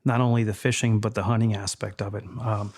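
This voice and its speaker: A man in his fifties from Texas, Spanish his first language, talking in a measured, reflective way as a podcast guest. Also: fairly low pitch, flat delivery, a little mellow. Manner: measured, reflective, with frequent fillers. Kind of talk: casual interview chat.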